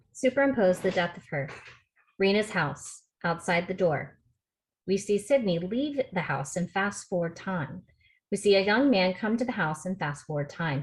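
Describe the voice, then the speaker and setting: A woman's voice: fairly low pitch, low-quality recording. A woman reading out stage directions as scripted narration at a table read over a video call.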